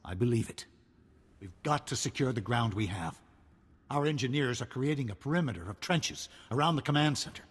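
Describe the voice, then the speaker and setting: An actor playing a grim, determined general in a video game, commanding and terse, with a husky voice. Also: male voice, mid-range voice, lively intonation, brisk, long reverb tail. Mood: grave, urgent. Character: grim, determined male army general in wartime. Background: game music.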